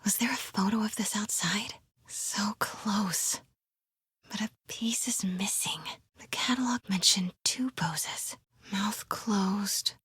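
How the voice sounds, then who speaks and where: Casting: a young female investigative reporter for a game, plucky and nosy, very breathy voice, very dry sound. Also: medium pitch, takes it slowly, no echo, whispered, heavily acted. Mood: hushed, focused. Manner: hushed muttering to herself. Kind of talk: video game voice acting.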